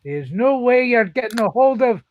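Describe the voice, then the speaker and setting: A man's voice: very high voice, big pitch swings, normal speed, heavy background noise. A man voicing a stern, defiant old cartoon duck tycoon in a live comic dub, on dull lo-fi audio.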